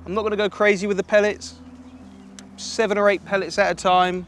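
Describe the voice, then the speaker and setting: A young man coaching listeners through an angling method in a relaxed, explanatory way, speaking partly as a voice-over. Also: high voice, fairly quick, a little hiss or hum. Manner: relaxed explanatory, practical tips. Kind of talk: instructional voice-over and commentary. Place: outdoors at a lake.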